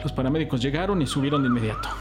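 A man playing a bereaved father in an audio drama, emotional, defensive and quick to anger. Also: medium pitch, expressive, noisy background, close to an everyday voice. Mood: stricken.

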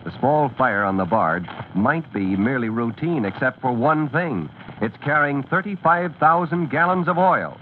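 A man's voice: mid-range voice, lively intonation, normal speed. A man narrating a scripted radio serial as its announcer, dramatic and declamatory in an old-time style. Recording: tinny scratchy vintage radio recording.

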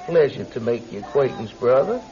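An actor playing a genial, pious older traveling preacher in a radio drama, with courtly, unctuous phrasing. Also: male voice, medium pitch, sing-song, fairly quick, natural voice. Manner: courtly, unctuous, quaintly formal. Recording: slightly dull radio recording.

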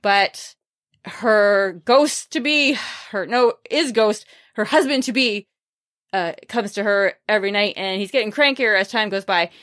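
A woman's voice: mid-range voice, unhurried, a bit bright. A woman hosting a podcast, chatting casually and informally through book recommendations with wry, understated asides. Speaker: podcast host reviewing books.

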